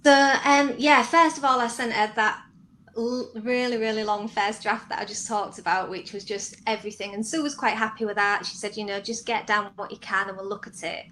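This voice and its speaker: A woman's voice: on the high side, fairly even intonation, a little fast, pushed and bright, slight background noise. A woman answering interview questions as a guest writer, talking conversationally about her own work.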